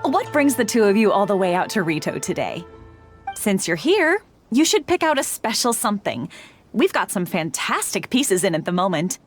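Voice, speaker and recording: An actress voicing a brisk, persuasive female shopkeeper in a video game, with a salesy manner. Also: mid-range voice, very animated, faint music behind, lightly acted. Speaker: game character.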